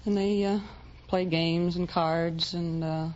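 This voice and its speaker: Low-pitched woman, muffled old recording. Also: fairly even intonation, slow, a little mellow. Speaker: quiz show contestant, volunteer Red Cross driver. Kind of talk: answering interview questions on a quiz show. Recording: muffled vintage radio recording, faint noise.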